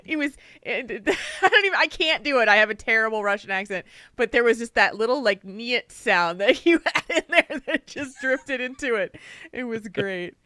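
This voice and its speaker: A woman's voice: fairly high pitch, animated, moderate pace, sharp tone, no echo, studio quality. A woman co-hosting a gaming podcast, teasing and giggling through casual banter. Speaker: podcast co-host. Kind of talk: casual chat.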